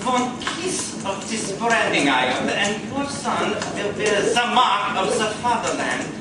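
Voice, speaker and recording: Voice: medium pitch, sing-song, slow pace. An actor playing a menacing, ruthless Nazi leader in theatrical, commanding old-time radio style. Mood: cold menace. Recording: echoey live coffee house, distant mic, noisy.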